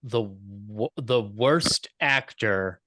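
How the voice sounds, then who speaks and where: A man's voice: fairly low pitch, extremely slow, sharp tone, dry recording, clean studio sound. A man acting as interviewer in a casual fan conversation, offering his own takes and putting questions to guests. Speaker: interviewer, panel moderator.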